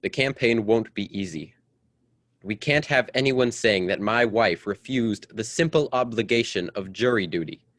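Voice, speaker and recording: Man acting domineering husband, stuffy politician, low-pitched, dry sound, brisk formal speech, old-time radio melodrama. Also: fairly bright tone, no room sound, lightly acted. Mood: insistent, indignant.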